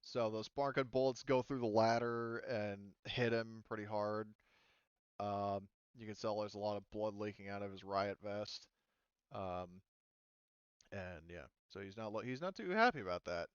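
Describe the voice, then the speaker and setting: A man with a low, clean voice runs a tabletop roleplaying game, explaining rules and action in casual, sometimes profane language. The sound is close and dry, slightly dulled. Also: moderate pace, clear, resonant tone, dry recording, dull audio. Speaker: game master.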